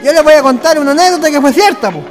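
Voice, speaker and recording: Rustic Chilean storyteller, very high voice, folksy country talk, radio play, long reverb. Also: male voice, lively intonation, racing. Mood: storytelling. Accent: rural Chilean. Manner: folksy, colloquial. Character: rustic country man telling tavern anecdotes. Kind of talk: radio drama acting, colloquial storytelling. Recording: long reverb, faint music behind.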